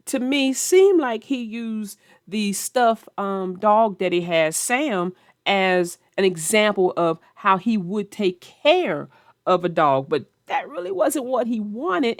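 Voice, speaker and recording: Lively, unhurried woman reading children's stories. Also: mid-range voice, no echo, clean studio sound. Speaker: children's story narrator and host. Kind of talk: picture-book read-aloud and book chat.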